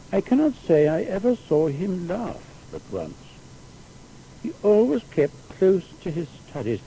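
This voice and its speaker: Creaky, dark-voiced, respectful secretary. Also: male voice, a little high, slight background noise, heavily acted, low-quality recording. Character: Newton's secretary, plain respectful man recalling his master. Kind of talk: dramatised testimony in audio biography.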